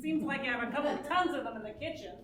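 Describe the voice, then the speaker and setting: An actress playing a dry, grumbling, long-suffering business partner in a stage musical. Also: female voice, sits high, quick pace, a bit bright. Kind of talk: musical theater stage acting. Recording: roomy distant stage recording, background noise.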